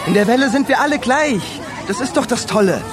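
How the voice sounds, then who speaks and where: Zealous teenage boy, high voice, bouncy intonation, radio drama, loud crowd. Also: expressive, a little fast. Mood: cocky, insistent. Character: cocky, zealous teenage male student. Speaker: actor in a radio play. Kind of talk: German radio drama acting.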